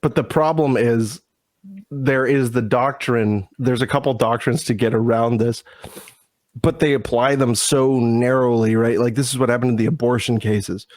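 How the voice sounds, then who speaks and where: A man's voice: mid-range voice, steady tone, normal speed, a little mellow, no room sound. A man co-hosting a casual podcast, congested from a sinus infection, with wry, exasperated asides. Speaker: podcast co-host. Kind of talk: casual political chat.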